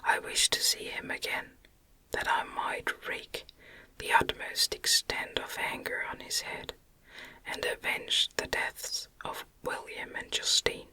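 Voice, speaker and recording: Female ASMR narrator for gothic literature: fully whispered, very unhurried, gentle measured phrasing. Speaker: audiobook narrator.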